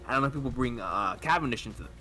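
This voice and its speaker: A man talking casually and enthusiastically as a fan on a podcast, halting and rambling as he lays out his thoughts. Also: mid-range voice, lively intonation, sharp tone, faint music behind. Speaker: podcast speaker discussing manga theories.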